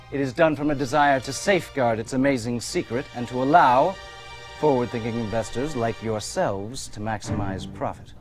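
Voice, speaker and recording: An actor on stage playing a smooth, condescending Victorian doctor and swindling showman, pompous and theatrical. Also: a little high, natural voice. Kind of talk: period stage play acting. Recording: live theatre stage recording with music.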